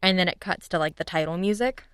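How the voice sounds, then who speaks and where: A woman's voice: on the low side, fast, fairly bright tone, clean tone, no echo, studio quality. A young woman chatting informally as a podcast guest, often acting out little quotes to make her point. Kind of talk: casual chat about audio drama.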